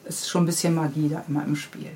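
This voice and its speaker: A middle-aged woman with a fairly low voice talks casually as an interviewed author. She explains and narrates in a friendly, chatty way, going into detail. There is some noise behind her. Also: flat delivery, quick pace, dark tone. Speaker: interviewed children's book author. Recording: clearly audible background noise.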